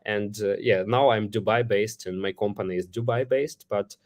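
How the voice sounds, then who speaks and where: Halting, lower-voiced man from Ukraine. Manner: halting, many fillers and restarts. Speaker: company CEO hosting a Q&A. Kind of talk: live online Q&A answering questions.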